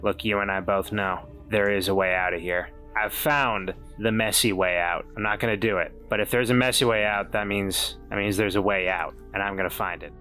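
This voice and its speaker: A man playing a wry, weary but determined man turned weasel, speaking haltingly in a tabletop roleplay. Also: on the low side, fairly even intonation, bright, forceful tone, soft background music, voice pushed down. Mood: resolute. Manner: halting, stammering.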